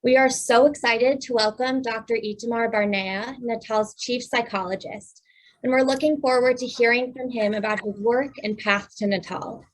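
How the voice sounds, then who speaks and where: A woman co-chairing a council, reading a scripted introduction over a video call in an even, formal manner. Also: fairly high pitch, rough recording.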